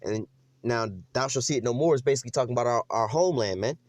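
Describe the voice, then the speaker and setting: A man teaching scripture in a loose, slangy street style, reading verses slowly and then explaining them with lots of "man" and "you know what I'm saying.". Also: medium pitch, darkish tone. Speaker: preacher.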